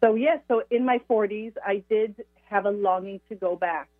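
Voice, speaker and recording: A woman's voice: mid-range voice, normal speed, dry recording. Middle-aged woman on a phone line. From Israel. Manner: reflective, searching for words. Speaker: guest author. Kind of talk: phone-in interview. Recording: dry tinny phone line.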